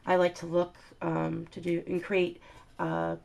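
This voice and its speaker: Nasal woman with a cold, low voice. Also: monotone, a little slow, vocal fry, darkish tone. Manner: chatty, many ums and uhs. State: stuffy nose from a cold. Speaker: craft tutorial host. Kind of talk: casual step-by-step craft demonstration.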